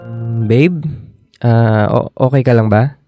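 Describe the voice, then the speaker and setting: A man acting a patient, concerned young boyfriend in a radio drama, gentle and halting, with a Filipino accent. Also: medium pitch, fairly even intonation, faint music behind.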